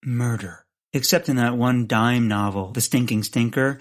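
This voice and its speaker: A man's voice: mid-range voice, normal speed, close to an everyday voice. A man playing a scatterbrained, earnest amateur sleuth in a comedic audio drama, wisecracking and rambling awkwardly.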